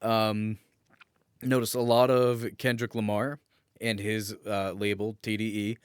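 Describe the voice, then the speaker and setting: A man's voice: lowish voice, flat delivery, measured pace, clean tone, no room sound, studio quality. A man co-hosting a podcast in casual comedic banter, joking with crude humour. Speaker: podcast co-host.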